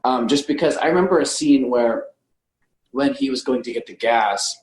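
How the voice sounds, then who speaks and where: A man's voice: mid-range voice, normal speed, a little mellow. Man in an echoey room, chatting reflectively. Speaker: podcast panelist reviewing films. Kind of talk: casual film discussion. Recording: roomy, echoey sound.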